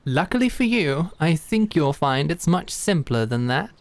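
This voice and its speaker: A woman voicing a stern, teasing female knight who teaches swordsmanship, with a dry, measured manner. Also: low voice, very animated, no room sound. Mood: instructive. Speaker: sword instructor. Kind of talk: fantasy audio drama acting.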